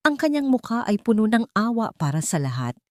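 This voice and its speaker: A woman reading a scripted audio drama in an emotive, deliberate storytelling manner. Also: a little high, sing-song, dry recording, studio quality.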